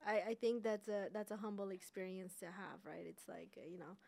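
A woman's voice: medium pitch, brisk, creaky, a little mellow. A woman from Armenia hosting a podcast, sharing personal anecdotes in a casual, reflective, conversational way. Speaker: podcast host.

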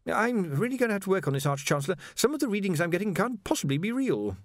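A man's voice: high voice, very animated, quick pace, dry recording, clean studio sound, pitched above the performer's own voice. A man doing a stammering, flustered young wizard. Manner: stammering, hesitant. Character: nervous stammering young male wizard scholar.

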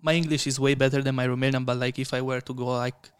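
A man in his twenties from Italy, a podcast guest talking in a relaxed, casual way and often saying "like". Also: mid-range voice, fast, smooth. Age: 20s. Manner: relaxed, leans on "like" a lot. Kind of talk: casual conversation.

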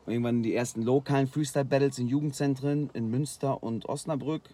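A man from Germany, a guest freestyle rapper, telling stories in relaxed slangy casual chat, worn out after a long night. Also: medium pitch, fast talker. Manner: relaxed, slangy storytelling with fillers.

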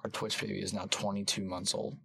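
A man's voice: lowish voice, little pitch movement, fairly quick, vocal fry, pushed and bright. Joking young man streaming podcast. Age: 20s. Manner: loose, joking, talks to the chat. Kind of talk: casual podcast chat.

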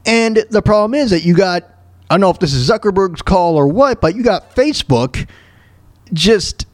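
A man hosting a solo radio show, giving informal, opinionated commentary on the news in a halting, repetitive way. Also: high-pitched, expressive, no room sound. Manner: informal, halting, repeats words and phrases for emphasis.

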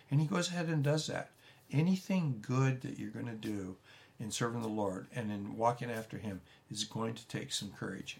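A man, a pastor giving a relaxed, rambling teaching talk with frequent restarts and folksy asides. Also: medium pitch, creaky. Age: 70s. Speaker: pastor teaching a church leadership course.

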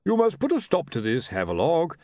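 A blustery chief priest from a fantasy storybook , performed by a man. He is blunt and impatient, with a raised, lively voice that leans hard on his words. The recording is dry and close. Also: on the high side, brisk, no echo, pitched above the performer's own voice. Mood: indignant.

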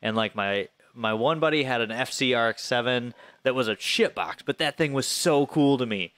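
A man's voice: medium pitch, normal speed, a bit bright, clean tone, dry recording. A thirty-year-old American man telling personal stories in casual, informal chat with some swearing. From Flint area, Michigan, US.

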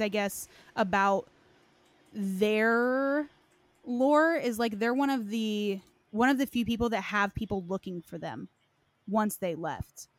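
A woman's voice: mid-range voice, no room sound. A woman hosting a casual discussion, speaking in a loose, conversational way with plenty of hedging. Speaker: host of a game lore discussion.

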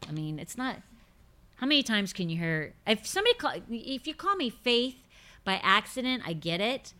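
A woman's voice: on the high side, very animated, normal speed, pushed and bright. A woman in her fifties hosting a faith podcast, chatting casually and emphatically as she answers listener questions, often repeating words to drive a point home. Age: 50s. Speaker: podcast host.

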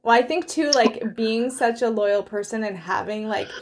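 A woman's voice: on the high side, normal speed, a little mellow, slight background noise. A woman in her thirties hosting an interview, chatty and searching for words with many likes and fillers. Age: 30s. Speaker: host. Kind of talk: conversational interview.

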